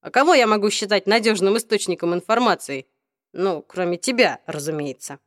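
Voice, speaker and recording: Спокойный ироничный мальчик-вампир в озвучке чтицы, голос ниже обычного, сухой звук. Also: female voice, medium pitch, animated, brisk, dry recording, clean studio sound, natural voice. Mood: wry. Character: teenage vampire boy, calm and wry. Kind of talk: audiobook reading.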